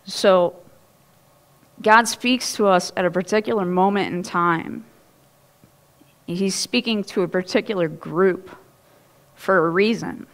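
A woman's voice: fairly low pitch, slow pace, long pauses. A woman pastor in her thirties, preaching a sermon in a casual, storytelling way on a church stage. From Ohio. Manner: chatty, animated storytelling with laughs and asides.

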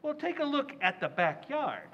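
An actor playing a loud, indignant working-class family man in a radio play. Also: extremely high pitch, wildly swooping pitch, normal speed, heavily acted. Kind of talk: old-time radio drama acting. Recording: dull lo-fi recording.

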